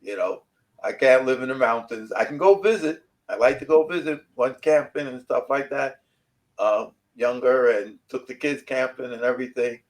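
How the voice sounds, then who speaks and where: A man's voice: medium pitch, moderate pace, rough recording. A man, an author guest rambling through casual stories and repeating himself. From New York City. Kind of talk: casual interview chat.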